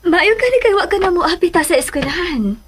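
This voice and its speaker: A woman acting a concerned, gently teasing mother in a Cebuano radio drama, questioning in a dry way. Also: very high voice, animated, fairly quick, a little hiss or hum.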